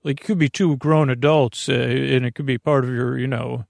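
A man hosting a bedtime podcast in a creaky voice, meandering gently through silly tangents and halting self-corrections. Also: mid-range voice, fairly even intonation, dry recording, studio quality. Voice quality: creaky, gravelly. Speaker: podcast host. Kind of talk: rambling, soothing bedtime monologue.

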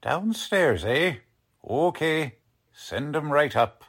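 An actor playing a cocky, condescending police lieutenant in a 1940s-style radio drama, brusque and slangy. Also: male voice, fairly high pitch, lively intonation, slow, a bit bright, full character voice. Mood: businesslike. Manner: brusque, slangy, ungrammatical tough-cop talk.

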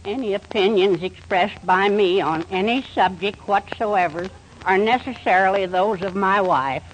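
Meek, high-voiced henpecked husband played by a man. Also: close to an everyday voice. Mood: meek, resigned. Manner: formal, measured recital. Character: meek, henpecked husband reciting his disclaimer. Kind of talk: 1940s radio comedy acting. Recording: faintly noisy 1940s radio recording.

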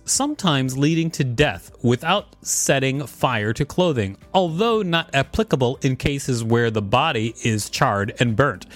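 A man co-hosting a podcast, reading an article aloud with casual banter. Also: medium pitch. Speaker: podcast co-host.

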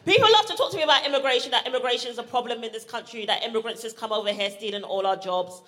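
A woman's voice: a little high, rapid-fire, bright, forceful tone. A woman from Barbados with a South London accent, doing a brash, punchy stand-up set at a live comedy show. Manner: brash, punchy, playful with the crowd. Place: live comedy venue with audience. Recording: live show with audience laughter.

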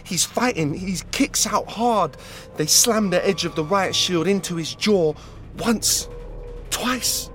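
A man narrating an audio drama intensely, sometimes dropping to a hushed whisper. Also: sits high, slow, soft background music.

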